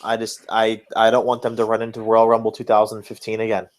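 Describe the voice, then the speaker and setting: A man's voice: on the low side, completely flat delivery, fast. A man co-hosting a podcast, casually discussing wrestling in informal, slangy language with some swearing. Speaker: podcast co-host.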